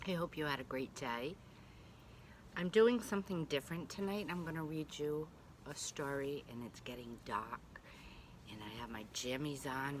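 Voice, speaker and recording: Low-key woman reading to children, noisy recording. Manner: gentle, reassuring, checking in with young listeners. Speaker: preschool teacher reading a story aloud. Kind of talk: storybook read-aloud with chatty asides to young children. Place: at home.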